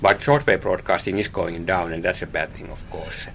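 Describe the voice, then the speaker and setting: A man's voice: low voice, steady tone, normal speed, gravelly, fairly bright tone, slight background noise. A man from Finland, a guest in a radio interview, talking about his hobby with many small hesitations and repeated words.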